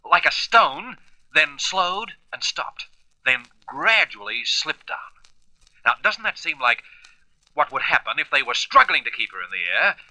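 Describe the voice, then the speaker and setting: A man playing a steady, commanding space hero and crew leader in a radio drama, deliberate and authoritative. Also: on the high side, no room sound, exaggerated voice, rough recording. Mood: pondering.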